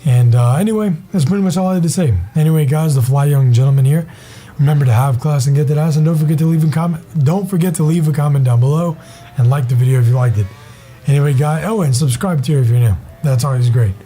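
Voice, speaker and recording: A hoarse young man with a raspy voice, giving casual, rambling gaming commentary as a channel host. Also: a little high, quick pace, dark tone, slight background noise. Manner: rambling, enthusiastic, many fillers.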